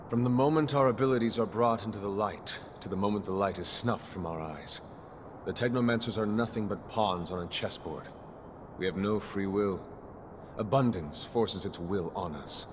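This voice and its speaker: An actor playing a solemn, protective, weary master in a video game, speaking formally and measuredly. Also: male voice, fairly low pitch, background noise, close to an everyday voice. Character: grave male master technomancer, protective, weary mentor.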